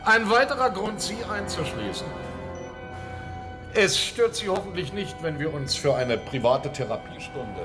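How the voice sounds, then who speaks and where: An actor playing a deranged armed survivor in a German radio drama, with smug mock politeness that can break into childlike pleading. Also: male voice, fairly high pitch, big pitch swings, unhurried. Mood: smug, threatening. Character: deranged armed male survivor, menacing, devoted to his toy. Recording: studio radio drama with clear music bed.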